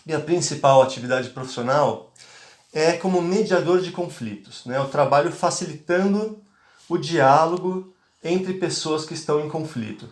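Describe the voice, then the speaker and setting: A man's voice: fairly high pitch, normal speed. Hesitant Brazilian man lecturing, echoey. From Bahia, Brazil. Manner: hesitant, many fillers and restarts. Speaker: speaker, conflict mediator. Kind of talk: online lecture to teachers.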